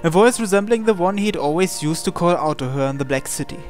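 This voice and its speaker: A man's voice: high-pitched, expressive, fast talker, faint music behind. A man reading the scripted narration of a visual novel aloud in a dramatic style.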